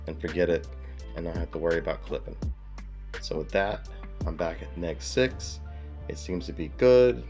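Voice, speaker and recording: A man with a deep voice giving a plain-spoken, casual tutorial as a video creator, talking into a lav mic at a desk at home and repeating points for emphasis. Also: a little mellow. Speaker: YouTube creator teaching video basics. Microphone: lav mic, wired then wireless.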